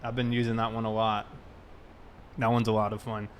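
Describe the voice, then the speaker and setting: A man's voice: on the low side, monotone, fairly quick. A man chatting casually on a game livestream, greeting viewers in a friendly way. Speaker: live streamer playing a police roleplay game. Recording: clear background noise.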